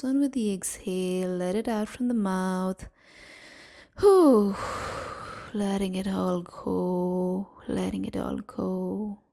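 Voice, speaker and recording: A woman leading a guided meditation in a soothing, gentle manner, leaving long pauses between short phrases. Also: medium pitch. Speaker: meditation guide and series host.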